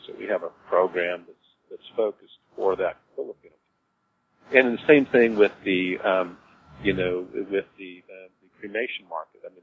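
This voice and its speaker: A man answering interview questions informally as a business executive, in a halting way, repeating words as he explains. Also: low voice. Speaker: cemetery executive being interviewed. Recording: dull lo-fi audio with clear noise.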